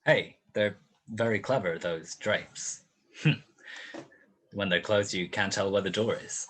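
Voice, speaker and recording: A man's voice: on the low side, slow pace, fairly bright tone, a little hiss or hum. A man playing a hard-boiled private detective in a noir radio play, sardonic and world-weary. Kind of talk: 1940s noir radio narration and dialogue.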